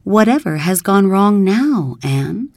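A woman voicing a stern, no-nonsense older spinster who scolds briskly. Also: mid-range voice, big pitch swings, a little mellow, no echo, clean studio sound, pitched above the performer's own voice, exaggerated voice. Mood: scolding.

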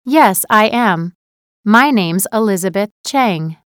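A woman voices Beth, a polite young student who is new in town. Her voice is smooth and clean, her delivery is slow, and she pronounces every word with care. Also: medium pitch, animated, no room sound, studio quality. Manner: careful, clear pronunciation. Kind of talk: scripted textbook dialogue.

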